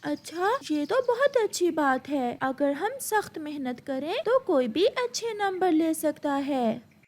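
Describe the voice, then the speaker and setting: A trusting little cartoon bird schoolboy, voiced by a woman, speaks very high above an ordinary voice. The delivery is gentle, earnest and lively in pitch, with a pleading edge. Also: slow, full character voice. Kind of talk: children's cartoon story voice acting.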